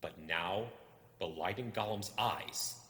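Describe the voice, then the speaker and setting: A man's voice: sits low, slow, pushed and bright. A man reading a suspenseful fantasy story aloud as a dramatic narrator. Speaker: storyteller, reader. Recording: echoing hall-like reverb.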